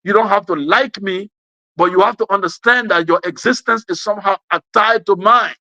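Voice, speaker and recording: Bright, high-pitched West African man orating. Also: normal speed, no room sound. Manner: emphatic, repeats phrases for effect. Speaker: speaker giving an address. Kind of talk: impassioned political speech.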